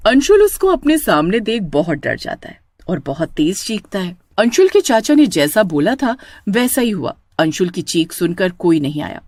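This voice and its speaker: A woman's voice: medium pitch, very animated, moderate pace, hardly any pauses, a little mellow, no room sound. A woman narrator reading a scripted horror story.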